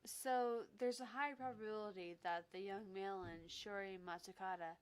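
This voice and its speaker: Stern investigator, voiced by a woman for a visual novel: mid-pitched, formal, accusatory, pressing. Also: fairly even intonation, normal speed, clear, resonant tone, natural voice. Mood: brisk, analytical.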